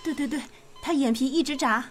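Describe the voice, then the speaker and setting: A woman's voice: high-pitched, a little fast, faint music behind, close to an everyday voice. A woman playing a grateful, tender mother in a radio drama. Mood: excited, grateful.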